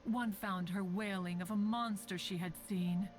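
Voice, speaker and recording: Old-fashioned formal crane spirit lady, woman voicing, wry and teasing, calls herself one, mid pitch. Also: fairly even intonation, a little slow, faint music behind, natural voice. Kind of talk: video game cutscene dialogue.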